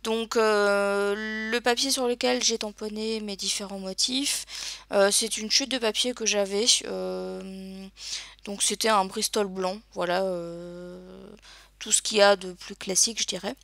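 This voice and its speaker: A woman's voice: medium pitch, fairly even intonation, unhurried, gravelly, a bit bright, no room sound. A woman narrating a crafting tutorial over her video, speaking hesitantly with many filler sounds. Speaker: crafting channel host.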